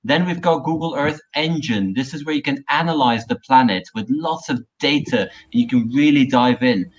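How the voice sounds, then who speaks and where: A man's voice: mid-range voice, moderate pace. A man co-hosting a live online webinar, presenting and chatting over a video call from a small room. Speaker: co-host and presenter. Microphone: wired earphones on a video call. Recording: dull, noisy video call audio.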